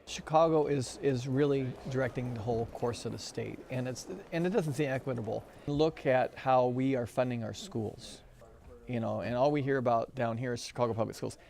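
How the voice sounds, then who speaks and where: A man's voice: mid-range voice, moderate pace, gravelly, darkish tone. A man, a county party chairman, answering interview questions with repeated, restarted phrases.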